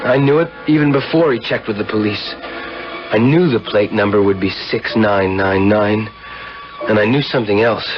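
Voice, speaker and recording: An actor playing a troubled, defensive young man in a 1970s radio drama, excitable and insistent. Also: fairly low pitch, moderate pace, natural voice. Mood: brooding. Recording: dull vintage radio broadcast with loud music.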